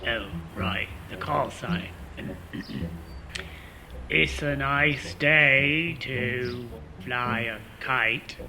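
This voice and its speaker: A man playing a dim, excitable spy with an affected British accent in a comedic radio play, noisy recording. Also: medium pitch, very slow, gravelly, long pauses, heavily acted. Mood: cheerfully clueless. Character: dim, excitable male spy posing as suave.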